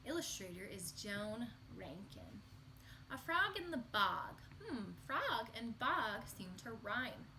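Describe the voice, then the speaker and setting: A woman reading a picture book aloud to young children in an expressive, sing-song storytelling manner. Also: a little high, takes it slowly, a little hiss or hum, rough recording.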